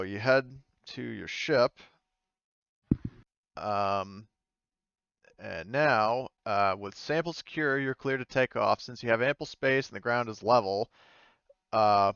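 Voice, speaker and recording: Clear-voiced man running a game, slightly muffled audio. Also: on the low side, a bit bright, no room sound. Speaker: game master. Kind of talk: improvised game narration and casual table talk.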